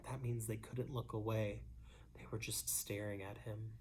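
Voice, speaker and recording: A woman reading a children's story aloud expressively, pausing between phrases and adding chatty asides. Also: extremely low pitch, steady tone, darkish tone, a little hiss or hum. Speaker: storybook reader.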